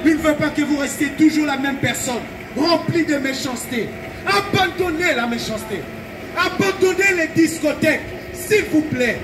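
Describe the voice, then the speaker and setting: Very high-pitched, fervent male street preacher. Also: moderate pace, noisy background. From Belgium. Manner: urgent, exhorting, emphatic, repeats phrases. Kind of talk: fervent open-air evangelistic sermon.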